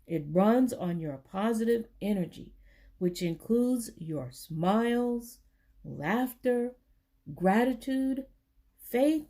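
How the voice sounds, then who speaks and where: A woman reading a children's book aloud, with deliberate, emphatic phrasing for young listeners. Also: mid-range voice, dark tone. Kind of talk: storybook read-aloud for children.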